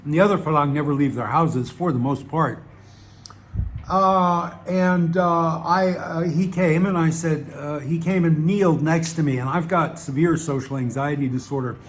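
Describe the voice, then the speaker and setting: A man with a Southern American accent talks to his viewers in a loose, rambling monologue. His voice sits a little high for a man, and he drifts between dry asides, fillers and self-corrections. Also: normal speed. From Southern United States. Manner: wry, digressive, many fillers and restarts. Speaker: channel host.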